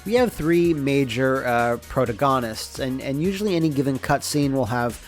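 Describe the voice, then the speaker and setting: A man narrates a behind-the-scenes explainer in a casual, conversational voice at an average pitch. He sounds like a developer talking informally through his own process, with music playing underneath. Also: expressive, normal speed.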